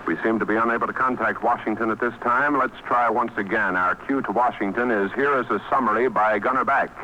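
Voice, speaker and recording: A man anchoring a live radio news bulletin, calmly stalling as the links to his reporters fail. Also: fairly low pitch, fairly quick, a little hiss or hum, rough recording. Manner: composed, stalling through failed links.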